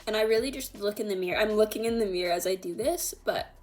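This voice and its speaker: A woman's voice: medium pitch, fast talker, darkish tone, faint music behind. A woman chatting casually through a makeup tutorial in an informal, meandering, self-deprecating way. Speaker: beauty vlogger.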